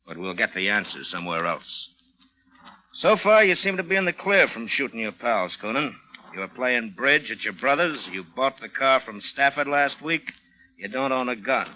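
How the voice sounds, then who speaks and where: An American actor playing a shrewd, suspicious homicide lieutenant in a vintage radio crime drama, clipped and sardonic. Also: male voice, medium pitch, lively intonation, normal speed, sharp tone. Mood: sardonic, warning. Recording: noisy vintage radio recording with clicks.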